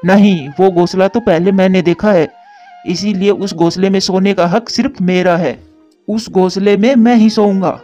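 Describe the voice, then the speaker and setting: A sly, lazy crow from a children's cartoon, played by a man, with a high and soft-edged voice. He sounds selfish and crafty, on a slightly dull track with faint music underneath. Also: fairly even intonation, normal speed, close to an everyday voice. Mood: stubborn, possessive. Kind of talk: Hindi children's moral story cartoon dialogue.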